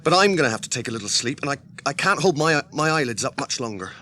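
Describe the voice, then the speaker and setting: A loyal, down-to-earth hobbit servant with a bright, homely voice. He speaks in an earnest, plain way. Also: mid-range voice, sing-song, fast talker. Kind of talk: 1980s radio drama acting.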